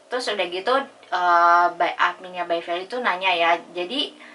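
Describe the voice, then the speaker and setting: Female vlog host, chatty and informal, bright forward voice, recorded a little away from the mic. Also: on the low side, moderate pace, recorded from a distance, low-quality recording. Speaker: YouTuber host. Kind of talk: casual vlog explainer, reading out chat messages.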